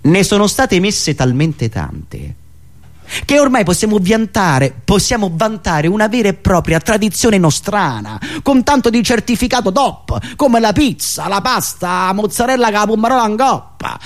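A man delivering a satirical political monologue in an indignant, sarcastic, declamatory manner with dramatic pauses and repetitions. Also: high-pitched, sing-song. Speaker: satirical monologist and radio show host.

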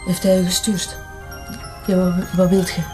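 An actress playing an evasive, nervous accomplice in an audio drama, hesitant and defensive, with a Flemish accent. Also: female voice, fairly low pitch, completely flat delivery, moderate pace, darkish tone, soft background music, close to an everyday voice. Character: evasive, nervous young female accomplice under interrogation.

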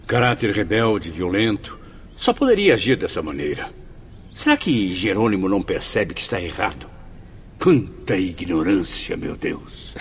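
Very deep, echoing stern spirit. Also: male voice, sing-song, normal speed. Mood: indignant. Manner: emphatic, formal, declamatory. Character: stern, grave male spirit patient, judgemental yet trusting. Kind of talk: radio drama acting. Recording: long hall reverb, faint noise.

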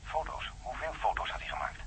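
A man's voice: mid-range voice, very animated, brisk. A man acting in a radio drama as a concerned friend talking through a telephone filter. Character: Stevens, male friend heard over the telephone. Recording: thin telephone line, faint noise.

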